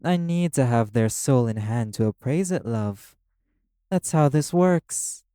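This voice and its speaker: A man's voice: medium pitch, lively intonation, measured pace, warm tone, smooth, no echo, clean studio sound, close to an everyday voice. A man playing a proud, condescending arch-demon casino owner in an audio roleplay, drawling and teasing with pet names. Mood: amused, teasing. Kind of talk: dramatic audio roleplay acting. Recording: music under the voice. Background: music.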